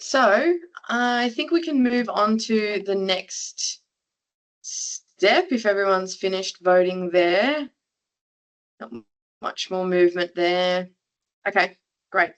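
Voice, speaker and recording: A woman's voice: mid-range voice, a bit bright. A woman moderating an online webinar over a video call, upbeat as she reads out audience answers and questions.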